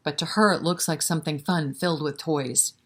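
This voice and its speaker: A woman's voice: low voice, fairly even intonation, brisk, a bit bright. A woman leading a children's story time, reading a picture book expressively and chatting to the children with questions and encouragement. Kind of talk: animated picture-book read-aloud with asides to children.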